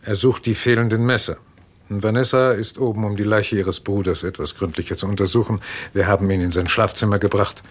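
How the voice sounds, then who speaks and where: An actor playing a courteous amateur investigator in a radio murder mystery, with a dry, gently probing manner. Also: male voice, lowish voice, fairly even intonation, brisk, lightly acted. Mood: polite, apologetic. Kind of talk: German radio crime play acting.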